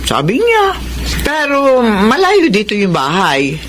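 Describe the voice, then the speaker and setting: A middle-aged village wife and mother in a radio drama. She sounds concerned and gently insistent, with animated pitch movement. Clear noise sits behind her. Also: slow pace, exaggerated voice. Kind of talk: Filipino radio drama acting. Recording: noisy radio drama broadcast.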